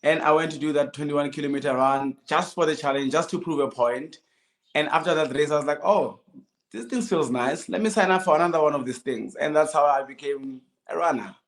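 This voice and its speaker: A man's voice: fairly high pitch, brisk. A South African man in his thirties telling his own story casually as a guest, in short sentences with quoted dialogue. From Limpopo, South Africa. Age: 30s. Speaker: podcast guest, amateur runner.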